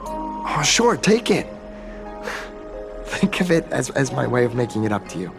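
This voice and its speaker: A man's voice: mid-range voice, very animated, a little slow, creaky, music in the background. An actor playing a nervous, timid artistic man in a video game, stammering and apologetic, with hesitant, flustered delivery. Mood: uneasy recounting. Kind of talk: video game character acting.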